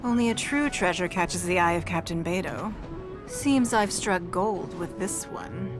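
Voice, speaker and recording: A woman's voice: lowish voice, animated, unhurried. A woman playing a self-assured, roguish female sea captain in a video game, with a confident, boastful manner. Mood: smug, admiring. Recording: loud music over the voice.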